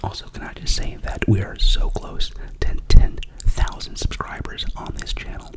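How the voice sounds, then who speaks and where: A young man with a cough whispering a rambling, chatty ASMR unboxing as the channel's host. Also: moderate pace. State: sick with a cough.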